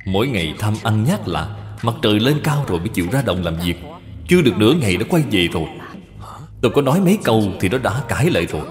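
An aggrieved, scheming stepmother of old China, read by a man for a cartoon. She complains and justifies herself in varied pitch, with long reverb and soft music behind. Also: very animated, fast talker. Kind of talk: cartoon dubbing.

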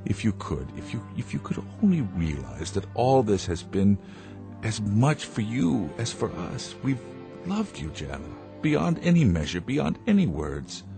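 A man's voice: on the low side, sing-song, a little slow, gravelly, music in the background, exaggerated voice. An actor playing a proud, earnest inventor father in a radio drama, speaking with emphatic, theatrical deliberation. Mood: pleading.

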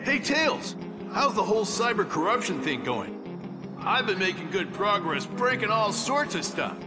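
A man's voice: high voice, sing-song, normal speed, music in the background. A man voicing a brash, confident young echidna fighter in a video game, blunt and punchy. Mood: upbeat, cocky.

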